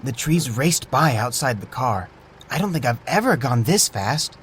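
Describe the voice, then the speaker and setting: A man narrating a scripted story in a gentle, wondering way. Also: mid-range voice, lively intonation, moderate pace, slight background noise.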